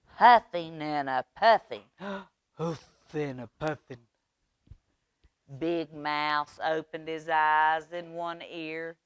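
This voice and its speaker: A woman reading aloud for children's storytime in an expressive, drawn-out manner. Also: low voice, smooth, dry recording, studio quality.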